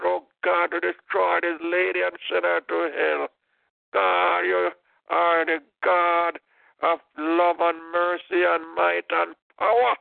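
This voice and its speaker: A man's voice: high-pitched, creaky, dry recording. A man leading a fervent intercessory prayer, impassioned, repeating "oh God" and "in the name of Jesus". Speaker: prayer leader. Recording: tinny phone-line prayer call.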